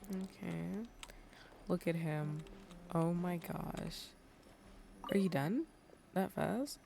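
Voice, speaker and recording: A woman's voice: lowish voice, drawn-out words, vocal fry, background noise. A woman giving casual live gameplay commentary as a streamer, informal and slangy, reacting to the game. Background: game music.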